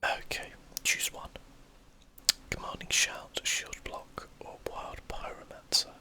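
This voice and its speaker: A person whispering casual ASMR gameplay commentary, breathy and unvoiced, thinking aloud as they play. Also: takes it slowly. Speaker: gaming video host.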